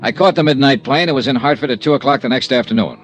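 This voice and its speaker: An actor playing a world-weary insurance investigator in a 1950s American radio drama, speaking in a clipped, matter-of-fact, wry way. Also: male voice, medium pitch, fast talker, soft background music, lightly acted. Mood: brisk, factual. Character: world-weary male freelance insurance investigator, narrating his case. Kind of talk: 1950s American radio detective narration and acting.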